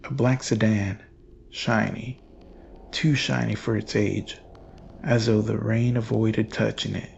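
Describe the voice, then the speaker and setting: A man reading scripted horror narration in a grave, measured, ominous manner. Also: on the low side, fairly even intonation, creaky, darkish tone, lo-fi sound, a little hiss or hum.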